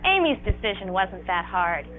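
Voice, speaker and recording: A woman's voice: medium pitch, big pitch swings, normal speed, faint music behind. A woman narrating an educational film from a script in an instructive, explanatory manner. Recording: tinny, noisy old 16mm film soundtrack.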